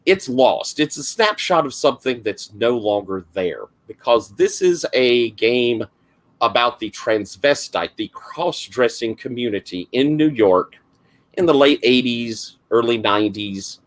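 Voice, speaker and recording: Southern game master: middle-aged man, gravelly, rambling and full of fillers, talking over an online call. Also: medium pitch, expressive, unhurried. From South Carolina, US. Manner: rambling, lots of fillers and restarts. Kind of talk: casual introduction of a game.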